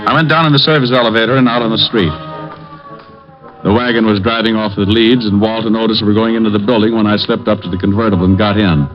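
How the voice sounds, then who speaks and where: An actor plays a skeptical male gumshoe in a vintage radio noir. His voice sits low, and he speaks in dry, tough-guy slang. The sound is dull and aged, with faint music behind it. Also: fairly even intonation, fast, close to an everyday voice. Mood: narrating, hard-boiled. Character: wisecracking, hard-boiled, skeptical male private eye. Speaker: private detective lead and narrator. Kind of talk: 1940s radio noir acting and narration.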